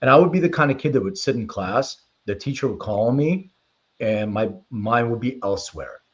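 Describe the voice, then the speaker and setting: A man's voice: mid-range voice, animated, moderate pace. A man in a slightly muffled, lo-fi recording speaks with a mid-range voice as an interview guest. He explains things informally and leans on anecdote, in an easy conversational style. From born in Lithuania, raised in Philadelphia from age six. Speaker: interview guest, precision medicine company leader. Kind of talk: conversational interview answers and personal storytelling.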